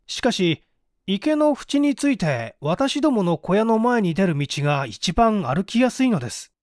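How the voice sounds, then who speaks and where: A man acting an uneasy villager giving testimony, high-pitched. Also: a little mellow, no room sound, clean studio sound. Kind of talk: audiobook reading of a vintage mystery.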